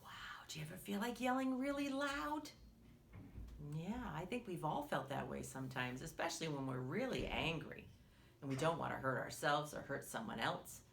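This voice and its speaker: A woman reading a picture book aloud to young children as a story time host, expressively, often putting questions to the listeners and pausing for their answers. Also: deep voice, lively intonation, vocal fry, a little hiss or hum.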